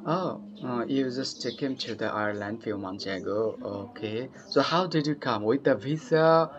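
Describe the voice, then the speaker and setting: A young man from Bangladesh with a Bangladeshi accent, chatting eagerly in English practice, often laughing and pausing with fillers. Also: mid-range voice. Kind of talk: casual conversation practice. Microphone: video call. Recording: dull lo-fi call audio.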